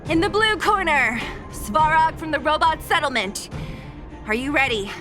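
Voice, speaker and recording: A woman's voice: high voice, expressive, moderate pace, over music. An actress playing an exasperated, no-nonsense young female fighter in a video game, blunt and dry in manner. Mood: announcing, firm.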